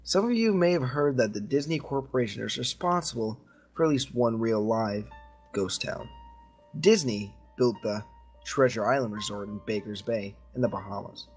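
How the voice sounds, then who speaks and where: A man's voice: medium pitch, expressive, moderate pace, husky, soft background music. A man reading a creepy story aloud to friends in an informal way. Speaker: story reader.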